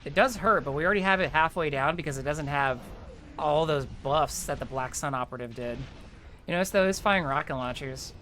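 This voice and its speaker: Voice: medium pitch, brisk, fairly bright tone, slight background noise. A casual game streamer with a mid-range voice talks viewers through the play. The delivery is informal and chatty, explaining things and thinking aloud along the way. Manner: chatty, informal, mild swearing. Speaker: streamer playing an online game. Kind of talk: casual game walkthrough commentary.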